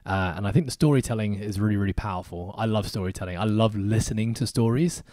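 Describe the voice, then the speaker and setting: A man's voice: fairly low pitch, fast talker, darkish tone, dry recording, clean studio sound. A man hosting a podcast in casual interview style, chatty and upbeat with joking asides. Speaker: podcast host. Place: podcast studio in Hong Kong.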